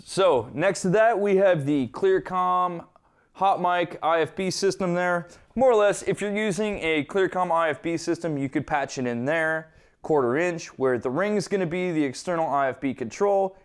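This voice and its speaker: A man with a fairly high, clear voice walks through a technical tutorial. He explains each step informally and conversationally, like a hands-on instructor. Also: normal speed. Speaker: instructor presenting an equipment tutorial.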